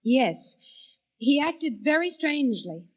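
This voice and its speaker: An earnest secretary testifying in a vintage radio play. Her voice is high, prim and emphatic. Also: big pitch swings, slow pace, heavily acted. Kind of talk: 1950s radio drama acting.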